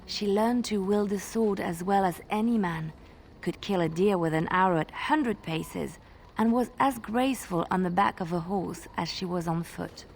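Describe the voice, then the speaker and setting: An actress playing a gentle young woman bard in a video game, telling tales in a measured, expressive way. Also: medium pitch, a little hiss or hum, lightly acted. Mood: earnest storytelling. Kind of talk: video game dialogue, fairy-tale storytelling.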